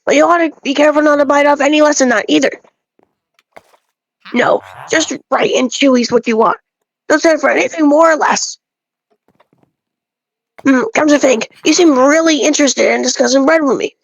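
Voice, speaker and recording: High-voiced woman reading dialogue aloud. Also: normal speed, vocal fry, pauses often, no echo, dull audio. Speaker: amateur reader of a game character's dialogue. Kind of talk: dramatic read-aloud of scripted monologue with casual asides.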